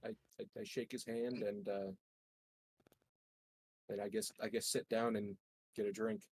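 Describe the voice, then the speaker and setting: A man playing a tabletop roleplaying game, chatting casually in a halting, laid-back way. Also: lowish voice, little pitch movement, a little mellow, breathy edge, no echo, low-quality recording. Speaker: tabletop role-playing player. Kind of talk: casual chat.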